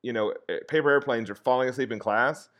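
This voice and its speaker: A man's voice: medium pitch, fast talker, fairly bright tone. A man who teaches history, speaking as a podcast guest in an earnest, reflective way with a soothing voice. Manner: earnest and reflective, many restarts and fillers. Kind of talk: casual interview conversation.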